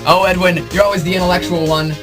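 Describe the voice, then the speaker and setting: A man playing an earnest, bumbling, boastful superhero in an amateur comic drama, melodramatic and excitable. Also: sits high, quick pace, music in the background, close to an everyday voice.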